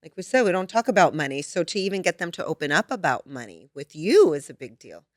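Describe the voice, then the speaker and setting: A woman's voice: lowish voice, very animated, fast talker, no echo, clean studio sound. A woman hosting an interview, chatty and affirming toward her guest. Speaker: podcast host. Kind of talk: casual interview talk.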